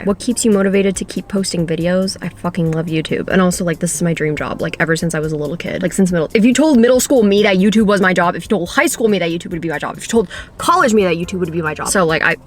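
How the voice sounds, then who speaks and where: A woman's voice: lowish voice, fast, hardly any pauses, slight background noise. A woman in her twenties, a native Polish speaker, chatting casually through a Q&A vlog and swearing offhandedly. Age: 20s. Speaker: YouTuber.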